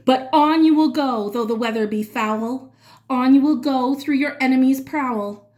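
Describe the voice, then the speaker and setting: High-voiced woman reading a children's storybook aloud. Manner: expressive, dramatic phrasing with drawn-out words. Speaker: children's read-aloud reader. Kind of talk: expressive storybook read-aloud in rhyming verse.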